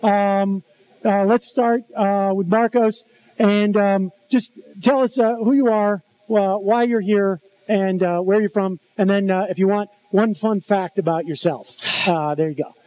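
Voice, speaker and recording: A man's voice: high voice, fairly even intonation, measured pace, warm tone, low-quality recording. A man moderating a live political panel on stage, speaking with many fillers and restarts. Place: large convention hall stage.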